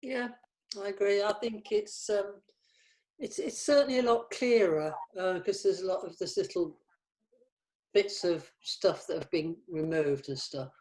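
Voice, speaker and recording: A woman giving casual feedback on a script, halting and repeating herself as she explains. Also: mid-range voice, darkish tone, poor audio.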